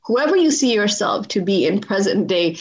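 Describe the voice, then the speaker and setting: A woman's voice: mid-range voice, sing-song, moderate pace. A woman in her forties, a podcast guest telling a personal story in conversation and acting out the dialogue with animation. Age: 40s.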